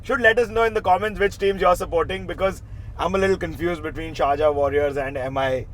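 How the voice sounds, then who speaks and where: A man's voice: a little high, fairly quick, a little hiss or hum, low-quality recording. A man hosting a casual interview show, upbeat and talking straight to the viewers. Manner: upbeat, speaks to viewers directly. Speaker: show host.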